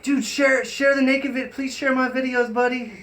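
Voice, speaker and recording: A man hosting a casual, improvised live stream, talking in a rambling, halting way and giving directions between remarks. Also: extremely high pitch, fairly even intonation, a bit bright. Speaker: live stream host and performer. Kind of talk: casual improvised livestream chat. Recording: echoey room, noisy live stream audio.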